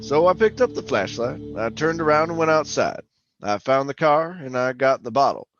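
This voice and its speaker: A man's voice: mid-range voice, expressive, moderate pace, muffled recording, over music, lightly acted. An actor playing a folksy, talkative oil-rig worker who tells his eerie tale in colloquial radio horror drama style. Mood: folksy narrating. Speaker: actor and narrator. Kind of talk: old-time radio horror drama acting and first-person narration.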